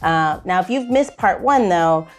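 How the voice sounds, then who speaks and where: Woman, podcast host, slightly low voice, informal folksy delivery, conversational, light music behind. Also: animated, measured pace.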